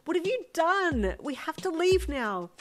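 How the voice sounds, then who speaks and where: A woman's voice: mid-range voice, a little fast, darkish tone, slight background noise, close to an everyday voice. A woman voicing an anxious, hot-tempered young adult daughter in a dramatized audio story, blunt and exclamatory. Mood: angry, distraught.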